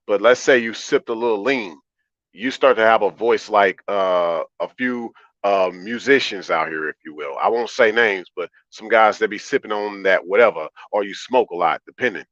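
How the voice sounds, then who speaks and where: A man with a deep baritone voice talking calmly and conversationally as a livestream host, repeating phrases for emphasis. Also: moderate pace. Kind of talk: conversational livestream monologue, answering chat. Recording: roomy, slightly dull lo-fi audio.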